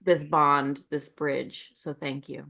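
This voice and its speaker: A woman's voice: deep voice, level tone, slow pace, creaky. A woman co-hosting a podcast, chatting in a playful, jokey way and asking her guest questions. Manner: playful, jokey, warm enthusiasm. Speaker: podcast co-host. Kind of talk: casual chat.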